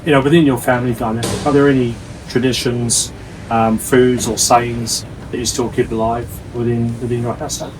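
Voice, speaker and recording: A man's voice: medium pitch, flat delivery, noisy background. Male interviewer, slightly distant mic. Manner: wordy, halting phrasing with repeated words. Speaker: interviewer, host. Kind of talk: conversational interview.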